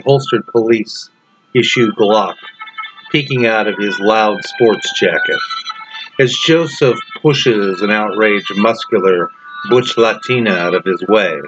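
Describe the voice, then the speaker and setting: A man reading a story as its author, in dramatic audiobook narration with deliberate phrasing and pauses. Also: lowish voice. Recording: dull, lo-fi narration track. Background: music.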